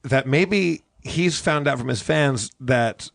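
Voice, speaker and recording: A man's voice: mid-range voice, slow pace, gravelly, a bit bright, no room sound, studio quality. A man co-hosting a comedy podcast, bantering through an improvised sponsor read. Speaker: podcast co-host.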